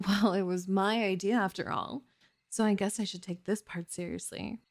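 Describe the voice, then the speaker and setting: A woman's voice: mid-range voice, fairly even intonation, normal speed, no room sound, lightly acted. A woman playing a skeptical, teasing young elf and reluctant research partner in an ASMR roleplay, with a dry, grudging manner. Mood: intrigued.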